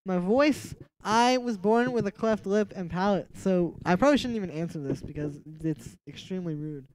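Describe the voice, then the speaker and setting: A young man with a distinctly nasal voice, talking loosely to viewers as he works on a track. He rambles and drops into quiet asides, with a faint hiss under the desk mic in a small home room. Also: high voice, moderate pace, low-quality recording. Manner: relaxed and rambling, with many "like" fillers, mumbling asides to himself. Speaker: music producer and livestream host. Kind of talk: casual livestream chat while producing music.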